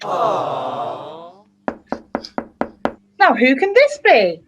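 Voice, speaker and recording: A woman playing a sweet, doting young wife in an amateur radio play, with a gushing, drawn-out delivery. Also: sits high, huge pitch swings, husky, exaggerated voice. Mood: adoring.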